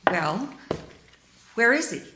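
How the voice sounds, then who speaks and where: A woman's voice: on the high side, big pitch swings, takes it slowly, heavy background noise. A woman playing an anxious, skeptical, well-mannered hotel guest in a radio mystery. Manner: worried, questioning.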